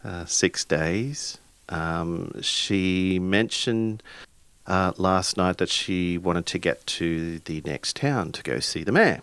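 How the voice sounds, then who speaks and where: A man improvising dialogue and narration in a tabletop roleplaying game, haltingly searching for words. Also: low voice, fairly bright tone, clean tone, no room sound.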